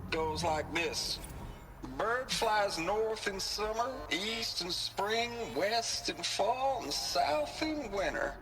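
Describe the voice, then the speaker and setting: A folksy high-voiced technician, music behind. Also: male voice, lively intonation, takes it slowly, rough recording. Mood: playful, smug. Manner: folksy, jokey, showy sing-song recital. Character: folksy, boastful male technician in an old recording. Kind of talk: video game audio log acting.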